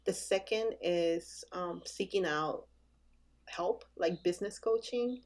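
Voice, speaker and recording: Low, gravelly woman speaking hesitantly. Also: a little mellow. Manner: hesitant, with many "um" pauses. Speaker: guest, brand designer. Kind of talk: casual interview answers.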